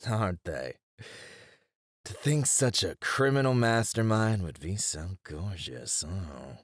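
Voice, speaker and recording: A man's voice: low voice, lively intonation, takes it slowly, dry recording, clean studio sound, exaggerated voice. A man playing a charming, mischievous, flirty mafia boss in a teasing, theatrical ASMR roleplay. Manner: teasing, theatrical, smooth-talking.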